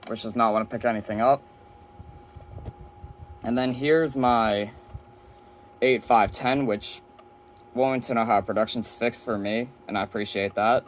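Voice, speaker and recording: A man's voice: medium pitch, steady tone, measured pace, long pauses. Man casually showing gear, noisy amateur recording. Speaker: weather radio collector presenting. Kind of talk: casual hands-on collection demo.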